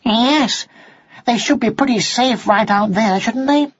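A man voicing an eager, earnest and excitable young male cat in a children's storybook. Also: very high voice, measured pace, husky, dry recording, muffled recording, voice pushed up, exaggerated voice. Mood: curious, worried.

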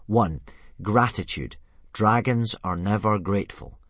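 A man voicing an exasperated young boy who coaxes and pleads with his pet dragon, sometimes yelling. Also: on the low side, a little slow, no echo. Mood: weary.

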